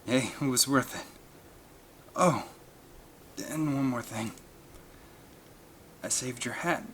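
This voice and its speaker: A man voicing a cheerful, wounded young man with a hoarse voice in a storybook. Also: mid-range voice, slow, a bit bright, slight background noise. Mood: weak, cheerful.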